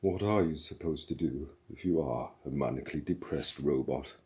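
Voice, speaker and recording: A man voicing a weary, depressed male robot in a slow, mournful, superior drawl. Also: very deep voice, darkish tone, pitched below the performer's own voice. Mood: gloomy. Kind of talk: audiobook character voice.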